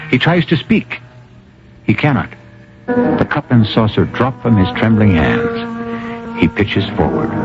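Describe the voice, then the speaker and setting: A man narrates an old radio mystery as its host. His low voice moves with lively, theatrical inflection, building suspense deliberately. Music plays clearly behind him on a muffled broadcast. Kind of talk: dramatic scripted radio narration.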